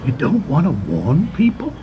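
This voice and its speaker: An actor playing an observant, low-ranking bank employee in a radio play, speaking in a measured, pointed, insinuating way. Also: male voice, huge pitch swings, dull audio, noisy background. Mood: concerned, probing.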